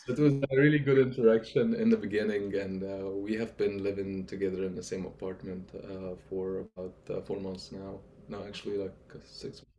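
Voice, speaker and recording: A man's voice: low voice, flat delivery, a little fast, dark tone, slight background noise. A man, a guest talking hesitantly with many fillers. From Egypt. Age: 30s. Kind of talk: casual interview talk.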